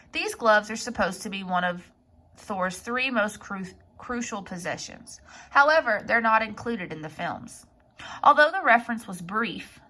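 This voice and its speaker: A woman's voice: mid-range voice, vocal fry, sharp tone. A woman reading an article aloud in an informal way, sometimes stumbling over words and correcting herself. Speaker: reader of an article. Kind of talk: article read aloud with off-the-cuff asides.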